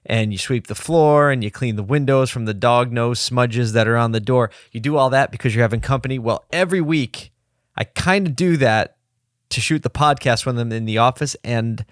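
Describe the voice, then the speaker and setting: A man hosting a solo podcast from his office desk, slightly hoarse and low on energy, talking in a rambling, candid way and pepping himself up as he goes. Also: moderate pace, dry recording, studio quality. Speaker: podcast host. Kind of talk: casual solo podcast talk.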